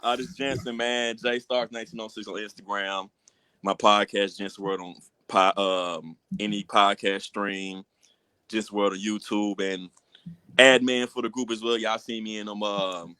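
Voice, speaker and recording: A man's voice: fairly low pitch, fairly even intonation, normal speed, bright, forceful tone, clear, resonant tone, no echo. A man with an American accent bantering as a podcast co-host in a joking, slangy way.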